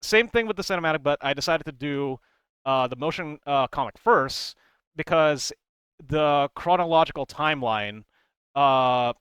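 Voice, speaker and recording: A man's voice: on the high side, animated, normal speed, a bit bright, clean tone, no room sound. A man giving casual, rambling commentary as a video creator, conversational and emphatic, close to the microphone. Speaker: video creator explaining game lore.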